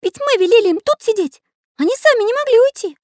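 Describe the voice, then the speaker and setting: A woman acts out a small, gentle artist from a children's story. The voice sits very high and swings about freely. He sounds anxious and pleading, with a tremble. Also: animated, fast talker, no room sound, studio quality. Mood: frightened.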